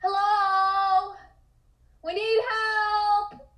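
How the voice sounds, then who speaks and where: A woman's voice: extremely high pitch, level tone, drawn-out words, sharp tone, heavily acted. A woman playing a trapped, frightened but caring young woman in a comic horror table read, anxious and earnest, in roomy, distant sound.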